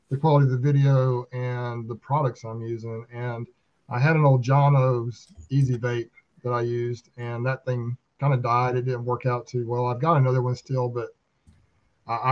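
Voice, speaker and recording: Southern American man, slightly dull audio. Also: medium pitch. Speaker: beekeeper and video channel host. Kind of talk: casual chat. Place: small room at home, video call.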